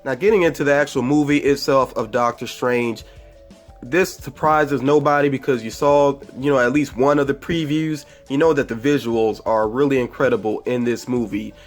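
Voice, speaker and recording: A man's voice: medium pitch, normal speed, faint music behind. A man with a cold and a congested voice gives a casual, rambling movie review, full of fillers and self-corrections.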